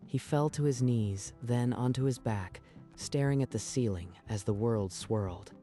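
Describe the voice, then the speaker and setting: A man's voice: mid-range voice, a little slow, dark tone, soft background music. A man narrating a dramatic story from a script in a storytelling style.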